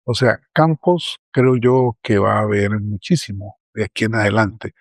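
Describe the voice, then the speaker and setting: A man's voice: lowish voice, moderate pace, gravelly, no room sound, studio quality. A middle-aged academic man, speaking earnestly at a round table panel.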